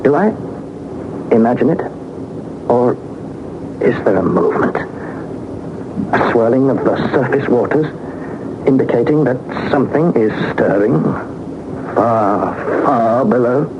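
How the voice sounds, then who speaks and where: A man's voice: mid-range voice, expressive, close to an everyday voice. A man playing an anxious, theatrical young British explorer. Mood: fearful. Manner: formal, deliberate, theatrical. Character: anxious, awestruck young gentleman explorer narrating his journal. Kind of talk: dramatic 1960s radio serial narration. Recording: noisy, muffled 1960s radio broadcast.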